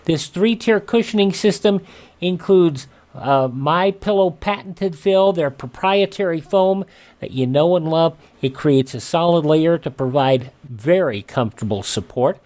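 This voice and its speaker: A man's voice: a little high, animated, unhurried, a little mellow. Conversational American man hosting radio. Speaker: radio show host. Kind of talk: conversational presenting and host-read endorsement.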